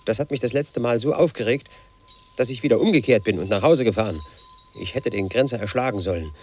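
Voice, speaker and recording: A man's voice: mid-range voice, quick pace, a little mellow, slight background noise, close to an everyday voice. An actor in his late thirties playing a lonely, suspicious house painter, speaking a bitter inner monologue in a radio drama. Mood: indignant. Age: late 30s. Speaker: protagonist narrating his life.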